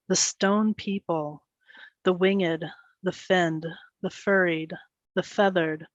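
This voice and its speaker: A woman's voice: mid-range voice, dry recording, lo-fi sound. Female meditation leader, mid-range voice, soothing and unforced, phrase-by-phrase guidance with space between, very little room sound. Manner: gentle, soothing instruction in short phrases with pauses. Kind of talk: guided meditation.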